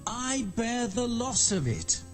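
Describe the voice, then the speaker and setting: An indignant shepherd acted by a man pleads his case in a scripture drama. The delivery is declamatory and very slow, with wide swings of pitch, set over faint music. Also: very animated, heavily acted. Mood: indignant, aggrieved. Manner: declamatory, archaic diction.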